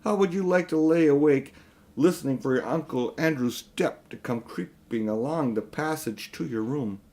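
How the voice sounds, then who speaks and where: A man's voice: mid-range voice, moderate pace, a little mellow, lightly acted. A man reading aloud and voicing a young boy who is miserable and worked up, in a children's story. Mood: uneasy.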